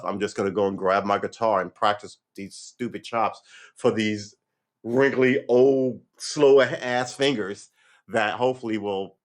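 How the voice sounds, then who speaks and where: A man in his fifties in a rambling, reflective monologue, full of restarts and "you know", and his pitch jumps when he gets worked up. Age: 50s. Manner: lively pitch swings when animated. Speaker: vlogger. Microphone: livestream setup.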